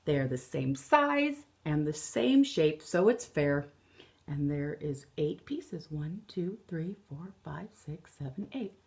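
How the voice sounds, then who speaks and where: A woman in her thirties reads a picture book aloud like an elementary teacher. She goes very slowly, with a fairly low voice that moves up and down for emphasis. Her delivery is deliberate and instructive, recorded at home on a device about an arm's length away. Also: animated, darkish tone. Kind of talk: children's picture book read-aloud with explanations. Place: room at home.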